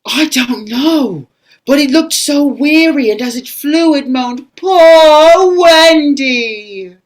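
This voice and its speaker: A woman's voice: high-pitched, slow pace. A woman playing a wide-eyed, dreamy young boy in an audio play, telling things earnestly. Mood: wistful.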